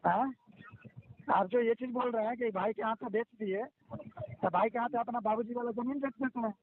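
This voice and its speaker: Over a muffled phone recording comes a high-pitched male voice. He is a debt collector who scolds, interrupts and repeats his demands insistently. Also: normal speed, a little hiss or hum. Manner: pushy, scolding, repetitive, interrupting. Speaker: bank loan recovery official. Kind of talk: phone argument demanding repayment. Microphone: telephone call recording.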